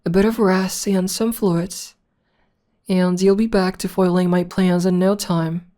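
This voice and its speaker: A woman's voice: on the low side, fairly even intonation, dark tone, natural voice. A woman playing a theatrical female supervillain in an ASMR roleplay, arch and teasing, coaxing and tender with a beloved enemy. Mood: chiding, caring. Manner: arch, unhurried, coaxing, mock-grand.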